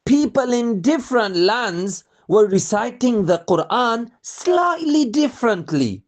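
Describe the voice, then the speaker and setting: A storyteller narrating a scripted religious tale for children in an expressive way, putting questions to the young listeners. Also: mid-range voice, slow.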